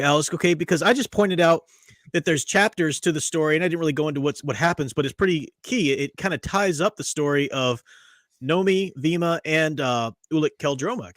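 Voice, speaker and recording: A man's voice: on the high side, a bit bright, no echo. Talkative man reviewing an audio drama. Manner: enthusiastic, talkative, restarts often. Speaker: podcast host. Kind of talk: casual review chat.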